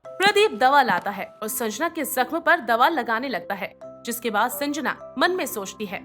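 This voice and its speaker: High, lively woman narrating a story. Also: moderate pace, faint music behind. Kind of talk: scripted narration of a moral bedtime story.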